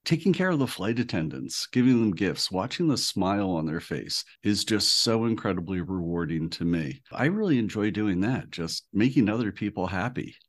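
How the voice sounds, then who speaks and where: A man hosting a travel podcast, talking in a relaxed, friendly and earnest way. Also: lowish voice, normal speed, few pauses. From United States. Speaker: podcast host. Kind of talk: casual podcast chat.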